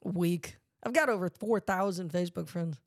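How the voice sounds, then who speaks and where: A man's voice: high voice, moderate pace, no echo, clean studio sound. A man co-hosting a casual podcast, playful and riffing with jokes between points.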